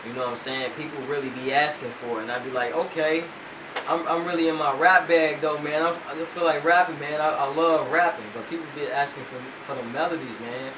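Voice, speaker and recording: A young male rapper chats easily and loosely, filling his talk with slang. He is picked up from some way off in an echoey room, and a steady hum sits under his voice. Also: on the high side, brisk. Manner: laid-back, loose slang with "man" fillers. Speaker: rapper guest. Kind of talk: casual interview chat. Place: radio studio. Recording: roomy, distant mic, noisy hum.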